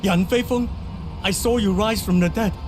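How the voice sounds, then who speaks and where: An actor playing an earnest fallen warrior in a video game cutscene. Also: male voice, high-pitched, moderate pace, lightly acted. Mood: astonished. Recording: music under the voice.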